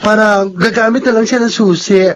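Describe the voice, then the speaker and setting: An actor with a Filipino accent playing an easygoing housemate, chatty and reassuring, in a radio drama. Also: male voice, sits high, steady tone, moderate pace, a little hiss or hum, lightly acted.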